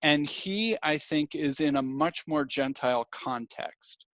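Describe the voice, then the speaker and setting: A man with a slightly high voice hosts a casual intellectual conversation. He explains things in colloquial language and keeps checking that his listener follows. The sound is very dry and a little dull. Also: a little slow, a bit bright, no room sound. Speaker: podcast host. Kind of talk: casual theological discussion.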